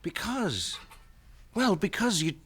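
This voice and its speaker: A man's voice: sits high, very animated, slow, a bit bright, husky, heavily acted. A man playing an earnest, confrontational young adult son in a 1970s radio drama, insistent and emotional. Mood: pleading, insistent. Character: earnest, confrontational grown son of wealthy businessman.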